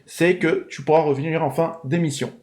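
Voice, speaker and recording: High-voiced chatty male stream host. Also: steady tone, a little fast, a little mellow, slight background noise. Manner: chatty, thanks viewers, runs a quiz. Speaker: live show host. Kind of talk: casual live-stream chat.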